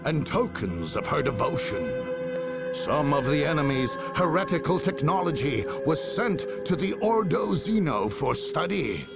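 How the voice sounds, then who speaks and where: Slow, gravelly war chronicler. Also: male voice, on the high side, very animated, loud music behind the voice, exaggerated voice. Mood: grave, dramatic. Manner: solemn, deliberate, theatrical. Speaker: narrator. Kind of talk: dramatic scripted narration for a game.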